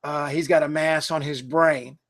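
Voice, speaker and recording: A hoarse man, talking casually and rambling with fillers while he hosts a live online training from his desk. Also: on the high side, fairly even intonation, measured pace, fairly bright tone. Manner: rambling, chatty, many fillers and restarts. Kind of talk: casual live webinar talk.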